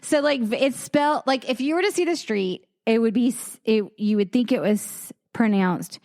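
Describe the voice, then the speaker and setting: A woman's voice: on the high side, normal speed, darkish tone. Chatty woman podcast co-host. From South Carolina. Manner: chatty, heavy use of "like", playful. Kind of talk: casual chat.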